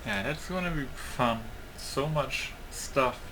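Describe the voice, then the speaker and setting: A man's voice: mid-range voice, slow, noisy background. A man giving casual let's play commentary, thinking aloud informally in short bursts. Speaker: gaming video host.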